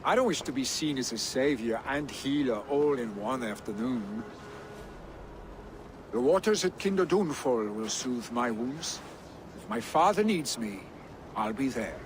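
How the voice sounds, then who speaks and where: Male actor as young Viking warrior, carefree, defiant, lively intonation, game dialogue, noisy ambience behind. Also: medium pitch, measured pace, natural voice. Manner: boastful, defiant, informal. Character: brash, carefree young Viking warrior, son of an ailing chief. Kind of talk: video game cutscene acting.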